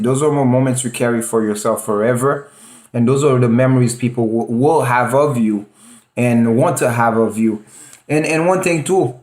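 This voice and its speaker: A man in his forties chatting casually as a video host, earnest and reflective, like someone giving heartfelt advice. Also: mid-range voice. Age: 40s. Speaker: host of a reaction video channel. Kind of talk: casual chat. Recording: echoey, roomy sound.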